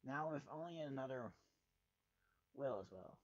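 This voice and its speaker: A man's voice: mid-range voice, expressive, a little slow, no echo, dull audio. A man reading a first-person story aloud with dramatic, sardonic and exasperated delivery. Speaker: fan fiction reader.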